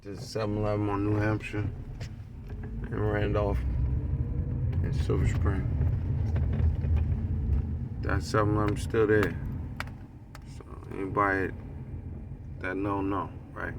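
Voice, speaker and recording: A middle-aged American man tells stories from his own life in a fairly low, gravelly voice. He talks casually and candidly, swearing freely and tossing out rhetorical questions. The recording is noisy, with clear ambience around him. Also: flat delivery, unhurried, pauses often, far from the mic. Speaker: storyteller. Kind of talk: casual, profane personal storytelling to camera.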